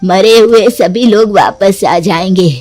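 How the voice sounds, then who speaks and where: Slow, earnest older village aunt played by a woman. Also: medium pitch, darkish tone, no room sound, faint music behind. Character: older mother-in-law and aunt, earnestly explaining. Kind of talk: Hindi cartoon story acting.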